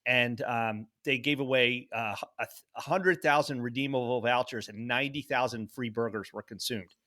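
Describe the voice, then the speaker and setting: Bright, forceful man chatting on a podcast. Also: medium pitch, moderate pace. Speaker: podcast co-host. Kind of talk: casual banter and storytelling.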